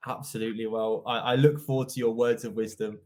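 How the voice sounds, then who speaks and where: A man's voice: lowish voice, little pitch movement, fast talker, darkish tone. A young man hosting an online interview in a polite, formal, effusive way. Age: young adult. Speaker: interviewer, host. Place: indoor office, video call.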